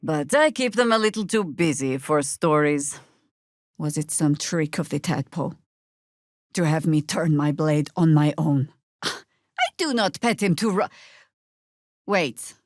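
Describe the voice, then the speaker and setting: A middle-aged fantasy warrior druid, stern and wry. She speaks slowly and deliberately in a slightly low register, with dry wit and natural authority. It is video game character work, with no room sound around the voice. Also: lively intonation, no room sound. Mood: dry, sardonic.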